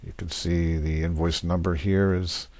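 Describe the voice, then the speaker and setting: Deep-voiced man walking through software. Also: flat delivery, measured pace, dry recording. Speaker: software demonstrator. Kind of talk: screen-share software walkthrough, unscripted.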